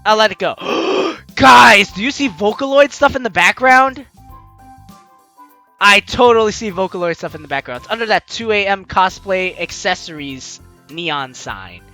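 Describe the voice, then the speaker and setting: An excitable, playful let's play host who stammers and interrupts their own reading of game text. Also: mid-range voice, a little slow, faint music behind. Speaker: gaming video host.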